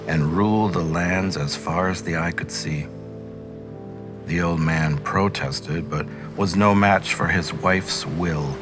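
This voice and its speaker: A man reading a fairy tale aloud as a storyteller, in scripted narration. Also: sits low.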